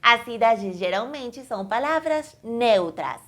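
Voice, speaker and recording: A woman from Colombia, teaching an online language lesson slowly, with clear, careful enunciation. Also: a little high, animated, fairly bright tone. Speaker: Portuguese teacher.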